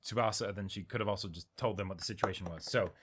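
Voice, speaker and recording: A man's voice: fairly low pitch, fast, fairly bright tone, slight background noise. A man co-hosting an anime reaction show, casually arguing his points in a rambling way with trailing asides. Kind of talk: casual discussion of an anime episode.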